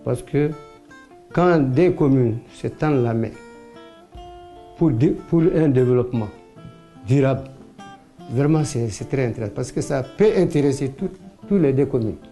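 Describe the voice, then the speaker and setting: A man's voice: medium pitch, lively intonation, moderate pace, long pauses, mellow, soft background music. A man giving an interview answer for a documentary, repeating himself for emphasis.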